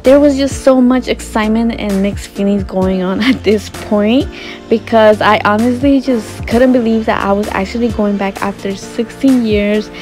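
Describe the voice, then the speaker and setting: Girl in her twenties doing a chatty voiceover for her trip vlog, soft music underneath. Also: on the high side, fairly even intonation, normal speed. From Ecuador. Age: 20s. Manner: chatty, earnest, laughs softly. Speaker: vlogger. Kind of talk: voiceover narration.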